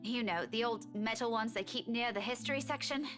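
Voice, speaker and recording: Woman acting anxious high-pitched young woman. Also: brisk, over music, close to an everyday voice. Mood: sheepish. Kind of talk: visual novel voice acting.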